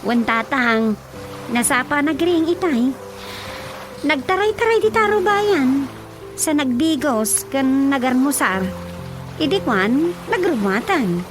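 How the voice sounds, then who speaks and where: A young Ilocano daughter in a radio drama, chatty and attentive. Her voice is high, and music plays clearly behind her. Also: animated, slow pace, pauses often.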